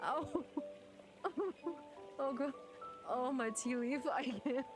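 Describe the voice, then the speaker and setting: Giggly woman chatting slowly. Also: high-pitched. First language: German. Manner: giggly, laughs often. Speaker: streamer. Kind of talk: casual chat with viewers. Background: game music.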